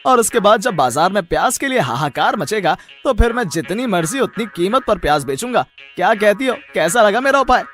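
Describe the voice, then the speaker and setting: A man's voice: high voice, sing-song, a little fast, few pauses, fairly bright tone, faint music behind. A man playing a greedy, irritable, boastful onion seller in a cartoon story, speaking emphatically and repeating himself. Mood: scheming. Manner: exaggerated, emphatic, repeats words. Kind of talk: animated moral story acting.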